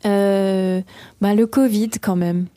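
A woman's voice: medium pitch, fairly even intonation, slow pace, warm tone, dry recording. A young woman from the Paris region, a singer-songwriter answering interview questions, talking hesitantly with many fillers and restarts. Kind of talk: radio interview.

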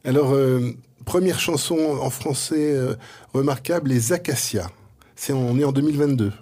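A man's voice: mid-range voice, normal speed, dark tone. A man interviewing a singer, asking conversational questions with stumbling restarts. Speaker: radio interviewer.

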